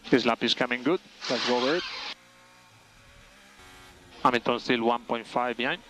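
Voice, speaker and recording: A man's voice: medium pitch, moderate pace, background noise. A man working as a race engineer, giving clipped, factual updates over team radio and repeating key phrases for clarity. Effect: team radio transmission.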